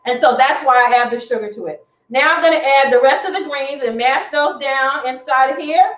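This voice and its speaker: High-voiced woman demonstrating cooking from afar. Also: moderate pace, a bit bright. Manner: chatty and instructive, talks while cooking. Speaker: cooking show host. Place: home kitchen, indoors. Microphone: far camera across the kitchen. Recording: roomy kitchen from far off.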